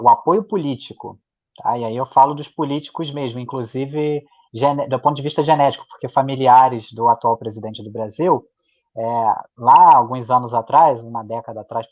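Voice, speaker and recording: A man's voice: medium pitch, moderate pace, darkish tone, lo-fi sound. A man, co-hosting a live online discussion and driving his points home by repeating them. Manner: emphatic, repeating points for weight. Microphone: video call.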